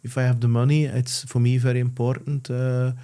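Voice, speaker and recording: A man hosts a relaxed interview, his voice mellow and soft-edged. He talks haltingly, circling back and restarting his sentences as he gropes for words. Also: medium pitch, clean tone. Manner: halting, many restarts and fillers. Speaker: podcast host. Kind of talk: casual interview.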